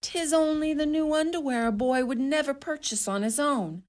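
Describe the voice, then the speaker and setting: An actress playing a scolding, sharp-tongued Irish mother in a radio play, theatrical and scornful. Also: high voice, normal speed, natural voice. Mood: brisk, bossy. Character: sharp-tongued, indignant Irish mother, middle-aged or older.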